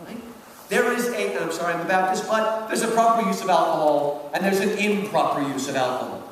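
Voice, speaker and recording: A man's voice: high-pitched, brisk. A man giving a public lecture, emphatic and talkative, punctuating his points with questions. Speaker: lecturer giving commentary on a literary work. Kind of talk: animated public lecture with quoted readings. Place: large hall at a public event. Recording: very echoey hall, far off, faint noise.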